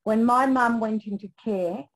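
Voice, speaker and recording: A woman's voice: a little high, unhurried, poor audio. A Greek-born woman whose first language is Greek, an aged care professional and carer, speaking reflectively with many restarts over a remote call. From Greece. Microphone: remote call without camera.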